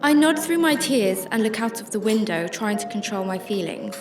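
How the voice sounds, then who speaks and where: A woman's voice: mid-range voice, animated, normal speed, close to an everyday voice. A woman acting a troubled, secretive shelter worker who narrates her story in a radio drama, emotive and hesitant in dialogue. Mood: reflective. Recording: roomy sound with music behind.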